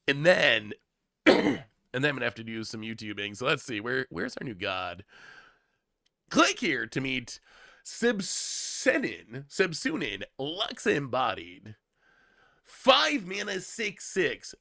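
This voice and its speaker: A man's voice: fairly high pitch, creaky, sharp tone, no echo, clean studio sound. A man thinking aloud on a casual livestream, often repeating words, with a voice worn down from congestion. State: losing his voice from congestion. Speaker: streamer reviewing card spoilers.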